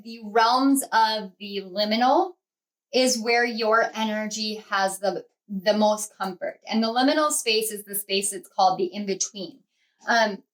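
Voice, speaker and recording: A woman's voice: mid-range voice, rough recording. Rambling woman giving a tarot reading. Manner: rambling, conversational, many fillers and restarts; earnest, speaks to viewers as a group. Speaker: tarot reader and channel host. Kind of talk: pick-a-card tarot reading to camera.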